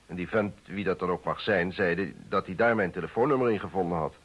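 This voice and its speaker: An actor playing a formal, defensive politician in a radio play, speaking hesitantly and with measured words. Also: male voice, low voice, slightly rough. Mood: defensive, worried. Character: defensive male politician confessing an affair, formal and evasive. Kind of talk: Dutch radio play acting. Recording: dull old radio play recording.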